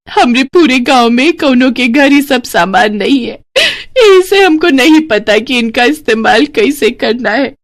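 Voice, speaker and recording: Woman voicing a high-pitched, excitable young village bride. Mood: tearful, pleading. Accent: rustic eastern Hindi. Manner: wide-eyed, exclaiming, earnest. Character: naive, excitable young village daughter-in-law. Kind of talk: animated moral story character voice.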